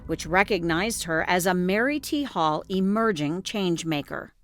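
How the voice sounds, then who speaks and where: A woman's voice: on the low side, lively intonation, unhurried, fairly bright tone. A woman with an American accent reads scripted narration as a news reporter. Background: music.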